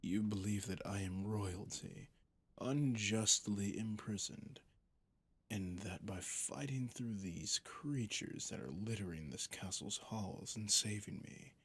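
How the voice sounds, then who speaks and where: Ancient vampire captor, male voice actor, ASMR audio drama. Very unhurried, creaky, whispery delivery; sadistic, mocking, theatrical. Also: fairly low pitch, heavily acted. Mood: condescending. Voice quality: breathy, gravelly. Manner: slow, theatrical, condescending, often dropping to a whisper.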